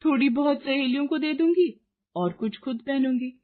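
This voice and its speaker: A woman's voice: high-pitched, normal speed, narrow, tinny audio, voice pushed up, lightly acted. A woman voicing a kind, generous rich young woman in a moral story, polite, confident and decisive. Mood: cheerful. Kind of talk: acted dialogue in a moral story.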